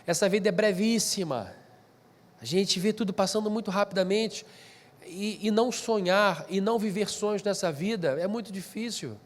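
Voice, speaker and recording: Male lecturer, stuffy and nasal from allergies, higher-pitched than most men, chatty delivery with restarts, long hall reverb. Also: normal speed. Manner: conversational, with frequent restarts and asides. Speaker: speaker at a pastors' training session. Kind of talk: spoken lecture to a live group.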